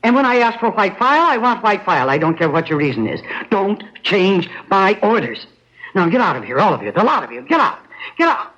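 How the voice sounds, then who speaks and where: An actress playing an anguished, imperious fashion designer in a radio mystery, emotional and dramatic. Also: female voice, medium pitch, big pitch swings, a little fast, fairly bright tone. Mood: furious, imperious. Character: anguished, high-strung female fashion designer, imperious when angry. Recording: dull lo-fi radio, faint noise.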